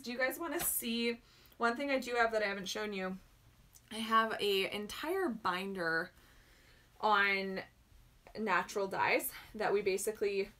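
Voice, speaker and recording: Young Albertan woman, bright voice, loose chatty livestream host answering questions at home. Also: medium pitch. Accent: Albertan Canadian. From northern Alberta, Canada. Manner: chatty, rambling, answers viewer questions, laughs often. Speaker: maker hosting a livestream. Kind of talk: casual livestream chat.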